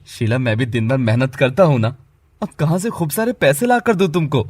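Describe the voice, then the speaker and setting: A man playing a poor, hardworking, devoted husband in an animated moral story, earnest and pleading. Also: mid-range voice, a little fast, breathy edge, no echo, close to an everyday voice. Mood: weary, meek.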